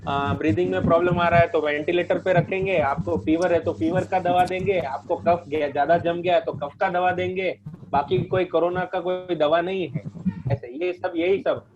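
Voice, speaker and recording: A high-voiced man hosts a group call. He explains things in an informal, instructive and reassuring way, circling back to repeat his points. The line is muffled, with clear noise behind him. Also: steady tone, moderate pace. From India. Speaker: host of a group conference call. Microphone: conference call line. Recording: muffled conference call with heavy background noise.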